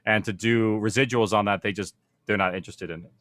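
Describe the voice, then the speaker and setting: A man's voice: on the low side, steady tone, fast, a bit bright, no echo, studio quality. A man speaking informally as a panel host, explaining things in a conversational way. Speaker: panel host, audio production producer.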